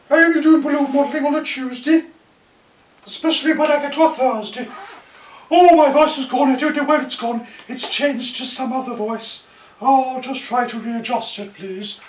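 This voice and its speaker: A man putting on a changed, high-pitched voice as a silly, flustered entertainer with fussy, nonsensical stage comedy patter. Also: normal speed. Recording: roomy, distant, noisy microphone.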